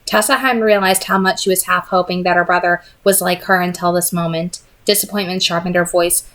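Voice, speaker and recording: A woman reading a novel aloud in an expressive amateur audiobook style. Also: lowish voice, brisk. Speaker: reader of a novel read-aloud.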